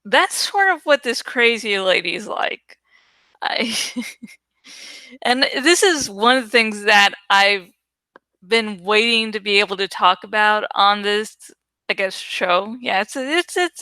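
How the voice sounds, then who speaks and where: A woman's voice: medium pitch, measured pace, sharp tone, no echo. A woman hosting a book read-aloud show, giving informal fan commentary that rambles and digresses. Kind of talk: casual, rambling fan commentary between dramatic readings.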